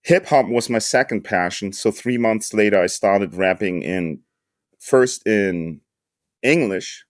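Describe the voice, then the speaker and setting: A man's voice: lowish voice, slow, no echo, clean studio sound. A man in his fifties from the Hamburg region, a native German speaker, casually telling his story in slangy, joking English as a podcast guest. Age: 50s. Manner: laid-back American slang, joking.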